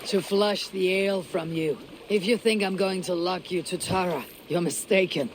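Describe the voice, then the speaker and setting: A terse Viking raider. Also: male voice, high-pitched, fairly even intonation, moderate pace, slight background noise. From Norway. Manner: terse and dry. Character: blunt male Viking raider, dry and tough.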